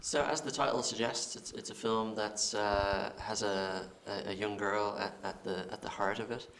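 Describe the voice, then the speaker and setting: An Irish film director answering interview questions, halting and repeating words as he thinks. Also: lowish voice, fairly even intonation, fairly bright tone, slight background noise. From Ireland.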